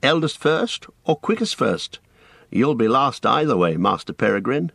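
A man's voice: mid-range voice, very animated, moderate pace, gravelly, fairly bright tone, slightly rough, no room sound, clean studio sound, voice pushed up, full character voice. A man doing a polite young hobbit.

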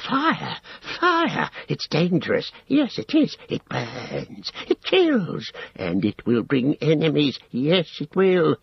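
A man's voice: on the high side, huge pitch swings, creaky, slightly rough, no echo, muffled recording, voice pushed up, exaggerated voice. A man voicing a wretched, whining creature in a storybook reading, with a thin, hissing, squealing delivery that repeats and drags out words. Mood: frightened warning. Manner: drawn-out, repetitive, pleading speech.

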